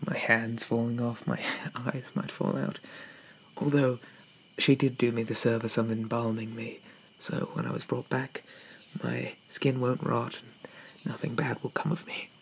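A man playing a shy, gentle, polite undead gentleman in a hesitant, breathy, half-whispered ASMR roleplay. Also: mid-range voice, level tone, unhurried, a little hiss or hum, low-quality recording. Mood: shy, wistful. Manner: hesitant, apologetic, softly murmured, old-fashioned politeness.

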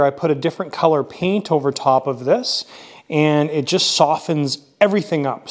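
A man casually narrating his model aircraft build in a workshop, thinking aloud with frequent fillers. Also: on the high side.

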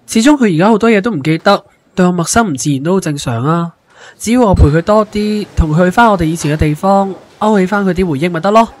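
A man playing an earnest young boyfriend in a Hong Kong Cantonese radio drama. He speaks quickly and urgently in a lightish, higher male voice, devoted and impatient. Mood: anxious.

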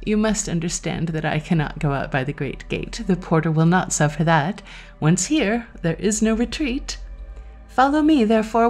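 A woman's voice: fairly low pitch, darkish tone. A woman voicing a male devil in a storybook tale, a sardonic, boastful tempter with a mocking, courtly manner. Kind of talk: dramatized storybook reading.

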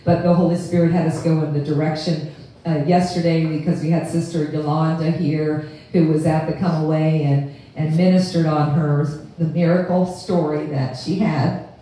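A woman's voice: low-pitched, level tone, normal speed, mellow, a little hiss or hum. A woman in her sixties preaching a sermon at a lectern in a living room, speaking fervently into a handheld mic with many restarts. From United States. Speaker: pastor. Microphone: handheld mic, camera across the room. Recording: echoey room from far off.

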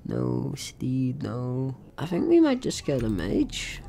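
A man's voice: mid-range voice, wildly swooping pitch, takes it slowly, vocal fry, dark tone, a little hiss or hum. A man doing casual let's play commentary, playfully thinking aloud and exclaiming as he goes. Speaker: gaming video host.

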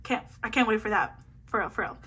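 A woman's voice: mid-range voice, fairly quick, pushed and bright, breathy edge. A woman chatting casually as she sorts photocards into a binder, bubbly and slangy with lots of little asides. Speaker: photocard collecting vlogger. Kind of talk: casual chat while sorting a binder. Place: indoors at a table, hands seen filming a binder.

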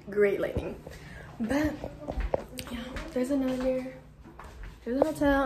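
A teenage young woman with an American accent chatting casually to her vlog, bubbly, slangy and exclamatory. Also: sits high. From California, United States. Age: teens. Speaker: travel vlogger. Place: car, outdoor stops and hotel room. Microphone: phone at arm's length. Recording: noisy handheld phone, road and street ambience.

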